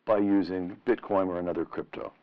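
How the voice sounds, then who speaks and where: A middle-aged man interviewing in a deliberate, rhetorical and emphatic way, on a lo-fi vintage radio style recording. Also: low-pitched, fairly even intonation. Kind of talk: long-form interview questioning. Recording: dull, lo-fi vintage radio sound.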